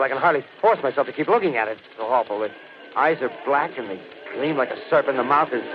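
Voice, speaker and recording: A shaken male field reporter played by an actor in a 1930s radio play. He speaks in halting, breathless bursts at a middling pitch, on a dull, noisy old recording. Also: natural voice. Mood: horrified awe. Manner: halting, breathless, searching for words. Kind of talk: 1930s radio drama live-report acting.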